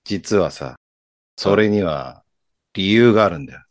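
A man playing a laid-back older friend, deep-voiced. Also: unhurried, no echo, clean studio sound. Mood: confiding. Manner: teasing, relaxed, low-key banter. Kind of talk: radio drama acting.

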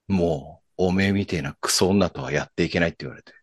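A man playing a laid-back older friend, deep-voiced. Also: quick pace, no echo, studio quality. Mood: confiding. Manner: teasing, relaxed, low-key banter. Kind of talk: radio drama acting.

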